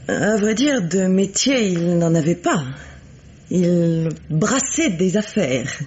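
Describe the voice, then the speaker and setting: An actress playing a composed, coolly bitter middle-aged widow in a French radio mystery play, speaking formally and deliberately. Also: female voice, lowish voice, lively intonation, darkish tone, a little hiss or hum. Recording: dull, lo-fi vintage radio recording.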